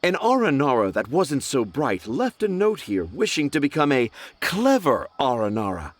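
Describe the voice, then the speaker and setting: A man's voice: medium pitch, wildly swooping pitch, moderate pace, slight background noise. A man voicing a pompous male writer in a game, grandiloquent and theatrical. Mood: grandiose. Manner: grandiloquent, self-satisfied, theatrical. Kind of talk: game voice acting.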